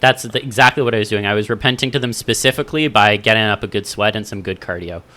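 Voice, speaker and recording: Bright-voiced male podcast host. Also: on the low side, fast. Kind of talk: casual podcast chat.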